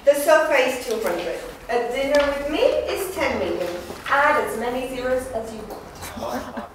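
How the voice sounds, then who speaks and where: A woman in a dramatic play reading, acting a brisk, sassy dealmaker, blunt and teasing. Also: sits high, animated. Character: brisk, sassy woman striking hard bargains. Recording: echoey hall, distant mic, noisy.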